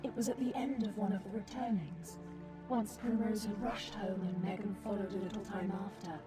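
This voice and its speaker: An actress playing a secretive, tender guardian who narrates a tragic tale in a measured, solemn way. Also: female voice, mid-range voice, fairly even intonation, loud music behind the voice, natural voice. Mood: solemn, wistful. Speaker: story narrator. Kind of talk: scripted dramatic narration.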